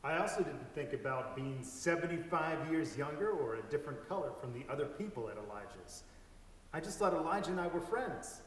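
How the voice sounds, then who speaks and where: A man playing an earnest, observant young boy in a measured storybook reading. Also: fairly high pitch, animated, recorded from a distance, lightly acted. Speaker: reader in a dramatic story reading. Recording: echoey hall from afar, faint hiss.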